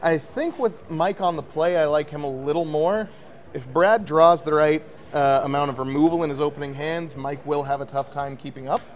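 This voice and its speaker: A man's voice: a little high, normal speed. A man giving casual color commentary on a card tournament, his voice strained and scratchy, breaking down matchups analytically. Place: card shop event.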